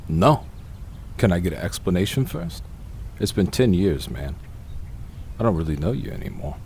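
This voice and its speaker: A man playing a wary, gruff retired crook who is suspicious of an old partner, in an audio drama. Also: low-pitched, very animated, normal speed, a little mellow, a little hiss or hum, heavily acted. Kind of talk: amateur audio drama acting.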